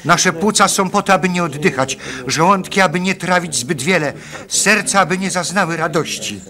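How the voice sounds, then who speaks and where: An actor playing a bitter, impassioned villager in a radio play chorus, declaiming insistently. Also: male voice, high voice, lively intonation, moderate pace, bright, forceful tone. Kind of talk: radio drama acting, declamation.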